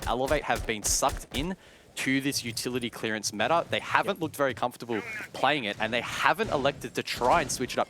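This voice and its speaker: A man's voice: medium pitch, noisy background. A man giving live analytical color commentary on an esports match, breaking down plays with occasional fillers. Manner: analytical, thinks aloud with fillers.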